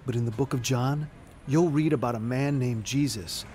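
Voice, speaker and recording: A man's voice: medium pitch, normal speed, a little mellow, slight background noise, close to an everyday voice. Reassuring evangelist man, acted, faint street ambience. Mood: kindly, assured. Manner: reassuring, earnest. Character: kindly, assured male street evangelist handing out Bibles. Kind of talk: audio drama acting.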